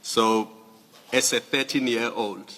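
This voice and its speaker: A man questioning a witness at a formal inquiry. He speaks in a measured, pointed and formal way. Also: mid-range voice, steady tone, sharp tone, long reverb tail. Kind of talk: formal cross-examination. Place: large hearing room.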